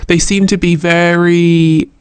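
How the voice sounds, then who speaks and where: A man's voice: high-pitched, level tone, slow, clear, resonant tone, no room sound. A man giving an unscripted tarot reading straight to the listener, thinking aloud in a reflective way and repeating phrases as he goes. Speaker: tarot reader.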